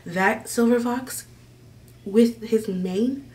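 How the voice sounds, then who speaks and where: A woman's voice: on the high side, very slow, a little mellow, recorded from a distance. A young American woman chatting casually to camera as a vlog host, gushing and rambling as she goes. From Maryland, United States. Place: small decorated room indoors.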